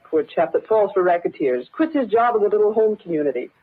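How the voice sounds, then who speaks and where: Actress doing proper concerned office secretary from a 40s radio play, slightly husky, old muffled radio sound. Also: female voice, mid-range voice, lively intonation, fast talker, lightly acted. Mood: frank, persuading. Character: frank, concerned newspaper secretary, Miss Case. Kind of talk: 1940s radio drama acting. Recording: muffled old radio broadcast, narrow band.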